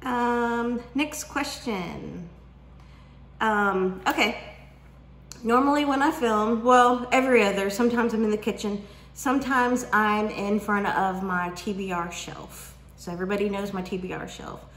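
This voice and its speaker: A woman's voice: medium pitch, measured pace, fairly bright tone. A woman, chatting casually to camera about books from her home kitchen and drawing out her questions in a teasing way. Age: 40s. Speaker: book vlogger. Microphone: camera at desk distance. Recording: roomy kitchen echo.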